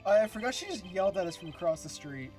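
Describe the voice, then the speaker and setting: Mid-pitched voice, joking, conversational, live fandub stream, faint background music. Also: big pitch swings, fast talker, a little mellow, poor audio. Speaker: amateur voice actor on a live stream. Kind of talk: casual group chat while fandubbing a courtroom game live.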